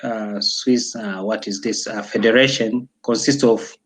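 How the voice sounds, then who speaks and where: A young man from Tanzania talking casually as an interview guest, pausing often with fillers and restarts. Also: fairly low pitch, steady tone. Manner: hesitant, many fillers and restarts.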